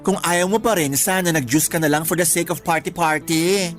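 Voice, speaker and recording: A woman's voice: fairly low pitch, moderate pace, soft background music, natural voice. A woman playing a nosy, sarcastic young friend in a Tagalog radio drama, teasing in slangy Taglish. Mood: nosy prodding. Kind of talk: Tagalog radio drama, natural conversational acting.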